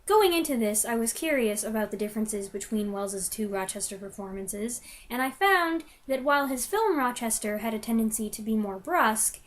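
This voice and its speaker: A woman's voice: fairly high pitch, lively intonation, moderate pace, few pauses. A woman giving a conversational review, opinionated and given to wry asides.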